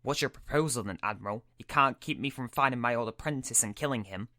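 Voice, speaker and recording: A man voicing a menacing, curt and imperious dark lord in a fan-made sci-fi roleplay. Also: mid-range voice, fast, no echo, clean studio sound, lightly acted. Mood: cold, imperious. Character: menacing male dark Force lord hunting his old apprentice.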